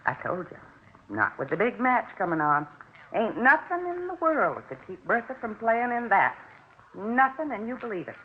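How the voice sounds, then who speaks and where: A woman's voice: fairly high pitch, very animated, moderate pace, a little hiss or hum. An actress playing a chatty, insistent older American neighbour woman in a 1950s radio drama, folksy and fretful, on a tinny old recording. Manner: talkative, folksy, emphatic.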